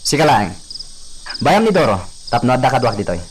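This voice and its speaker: A man acts a bossy young male master in a radio serial. His orders come in a mid-pitched, varied voice, with clear noise on the recording. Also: big pitch swings, close to an everyday voice. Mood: commanding. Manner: bossy, brisk commands. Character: young señorito giving orders to his servant. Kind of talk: Ilocano radio drama acting.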